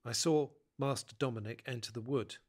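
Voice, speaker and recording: A man voicing a stiff, guarded tutor in a country house, speaking formally and with measured care. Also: mid-range voice, natural voice.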